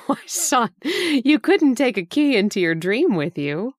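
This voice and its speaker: Woman as gentle mother, radio drama, puzzled, tender, coaxing, animated pitch, dry sound. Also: slow, no echo, exaggerated voice. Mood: puzzled, concerned. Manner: questioning, tender, concerned. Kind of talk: old-time radio drama acting.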